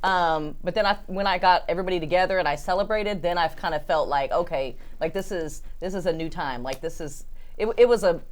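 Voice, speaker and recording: A woman in her forties speaks as a guest in a relaxed group conversation, her voice somewhat low. She talks in a reflective, wandering way, thinking aloud as she goes. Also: a little fast. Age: 40s. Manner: reflective, rambling with many "like" fillers. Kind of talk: casual group chat.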